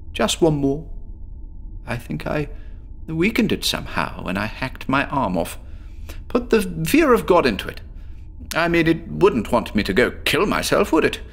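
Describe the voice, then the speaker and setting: Frantic asylum patient: stammers, gravelly, pitch all over the place. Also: male voice, fairly bright tone, heavily acted. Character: haunted male patient recounting horror, frantic. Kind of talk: audio drama monologue.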